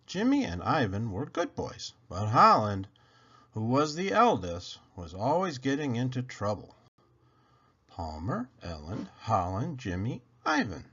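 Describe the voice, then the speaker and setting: Animated man reading a storybook, dull sound. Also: mid-range voice, measured pace, slightly rough. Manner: expressive, dramatic emphasis, questions put to young listeners. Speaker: storyteller reading picture books aloud, channel host. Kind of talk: animated children's picture-book read-aloud.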